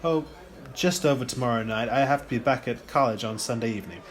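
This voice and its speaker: An amateur actor playing an awkward, placating college student son in a radio play, hesitant, plain-spoken and apologetic. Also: male voice, medium pitch, fast, lightly acted.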